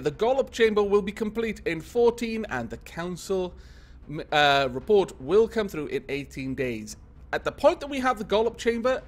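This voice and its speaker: A man casually commentating on a strategy game he is playing, thinking aloud with many fillers, a little congested from a cold. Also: sits high. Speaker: gaming video host.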